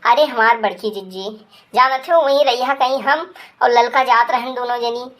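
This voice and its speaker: A woman's voice: on the high side, normal speed, fairly bright tone, close to an everyday voice. A woman voicing a dramatic, scolding village woman with an Awadhi accent in a rural cartoon comedy. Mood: scandalized. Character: loud village women, scandalized gossips and an angry betrayed wife.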